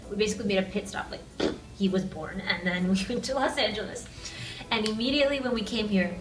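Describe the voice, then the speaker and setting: A woman's voice: mid-range voice, brisk. A young woman from Peru, a college student, giving candid conversational interview answers. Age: young adult. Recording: roomy, distant mic, music underneath.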